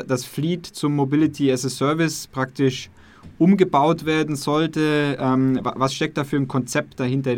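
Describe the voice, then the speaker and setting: A young man hosting a podcast and interviewing a guest. His questions are friendly but wander, with many fillers and restarts. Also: mid-range voice. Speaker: podcast host.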